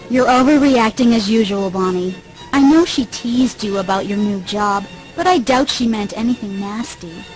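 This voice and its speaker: An actress playing an earnest, polite, conciliatory young heroine in a video game. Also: female voice, a little high, expressive, normal speed, lightly acted, rough recording. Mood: mildly reproving. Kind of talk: video game dialogue acting. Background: music.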